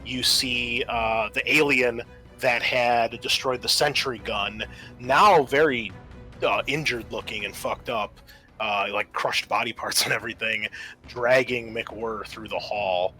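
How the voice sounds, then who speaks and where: A man's voice: medium pitch, unhurried, sharp tone. A man acting as game master, narrating a horror tabletop roleplaying game in an improvised way, mixing storytelling with rules talk and dry asides. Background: background music.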